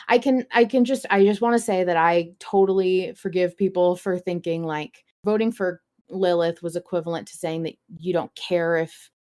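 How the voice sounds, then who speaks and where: A woman's voice: on the low side, a little mellow. A woman co-hosting a podcast, talking casually through her thoughts on a story. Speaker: podcast co-host. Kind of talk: casual discussion of a fiction podcast.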